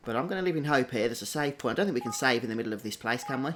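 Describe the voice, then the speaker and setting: A man's voice: mid-range voice, animated, racing, fairly bright tone, faint music behind. A man giving casual, chatty gameplay commentary, thinking aloud as a streamer doing a walkthrough.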